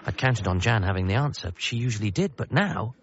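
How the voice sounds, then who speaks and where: A bereaved son in a radio comedy drama. He is earnest, awkward and easily flustered, with a lightly low voice that moves around a lot. The sound is a little dull. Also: expressive, brisk, slight background noise. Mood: reflective. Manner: hesitant, apologetic, flustered.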